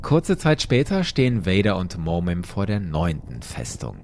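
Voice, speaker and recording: A man narrating a comic-book tale in a low voice, his pitch rising and falling with a lot of expression. He talks slowly and drops in wry, casual asides, with a clear background noise under the recording. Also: wildly swooping pitch, a little mellow. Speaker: podcast host and storyteller. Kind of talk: retelling a comic as an audio story.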